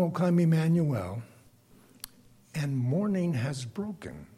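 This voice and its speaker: An older man with a bass singing voice gives a reflective, prepared lay sermon to a congregation, speaking deliberately and haltingly with pauses. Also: darkish tone, slightly rough. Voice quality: self-described bass voice.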